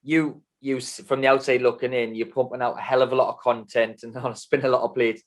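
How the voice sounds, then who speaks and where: A man interviewing a guest, jumping in with quick questions. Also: mid-range voice, flat delivery. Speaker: interviewer host. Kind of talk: conversational interview.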